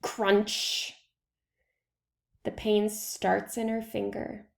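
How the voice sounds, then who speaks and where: Woman reading a storybook, gravelly creak. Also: medium pitch, little pitch movement, extremely slow. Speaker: read-aloud reader. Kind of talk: children's picture book read-aloud.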